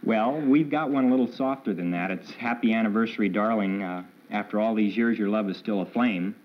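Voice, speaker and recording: A man's voice: lowish voice, a little fast. A polite, plain-spoken man, a game show contestant answering the host's questions. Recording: dull old television broadcast audio.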